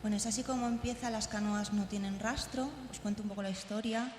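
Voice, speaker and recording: Woman, informal presentation, medium pitch, echoey hall, clear humming noise. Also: steady tone, brisk. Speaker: speaker presenting an audio drama. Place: conference hall.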